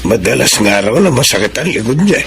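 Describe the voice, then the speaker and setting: A Filipino actor playing a humble, easygoing father with a gravelly, folksy voice in a radio drama. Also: medium pitch, very animated, a little fast, fairly bright tone, a little hiss or hum. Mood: fatherly. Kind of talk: Tagalog radio drama acting.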